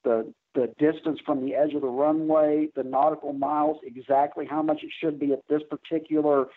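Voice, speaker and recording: Husky higher-voiced man on phone-like line. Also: little pitch movement, brisk. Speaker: interview guest, air traffic controller. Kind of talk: conversational technical explanation. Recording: narrow, tinny phone-like line.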